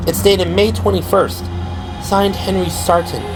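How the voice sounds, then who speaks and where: A man's voice: high-pitched, fairly even intonation, unhurried, over music, voice pushed up, poor audio. A man voicing a worried young woman who intently reads research papers aloud in an audio drama. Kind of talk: audio drama character dialogue.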